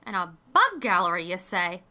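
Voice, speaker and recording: A woman's voice: on the low side, sing-song, normal speed, sharp tone, full character voice. A woman playing an eccentric, doting elderly grandmother in an audio drama, rambling and theatrical, full of endearments. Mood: musing.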